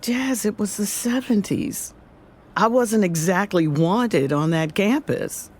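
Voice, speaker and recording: A woman's voice: mid-range voice, animated, slow pace. An actress playing a wise, patient older grandmother in an audio drama. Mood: gently defensive.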